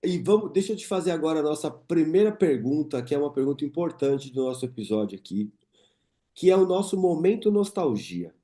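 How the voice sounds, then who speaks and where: A man's voice: on the high side, very animated, fairly quick, dark tone. Chatty Brazilian man hosting a podcast. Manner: chatty, reminiscing with guest. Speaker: podcast host. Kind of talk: casual interview chat.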